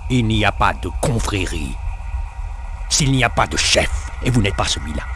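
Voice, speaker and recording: A man's voice: lowish voice, lively intonation, moderate pace, fairly bright tone, soft background music. A man playing a defiant, accusing rival general in a video game, formal and indignant. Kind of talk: video game cutscene dialogue.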